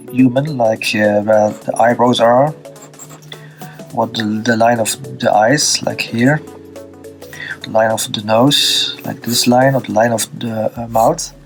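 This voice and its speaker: A man from Belgium with a Dutch accent, teaching a drawing lesson off the cuff, pausing often with fillers and restarts while he draws. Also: mid-range voice. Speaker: art teacher. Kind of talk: unscripted drawing tutorial.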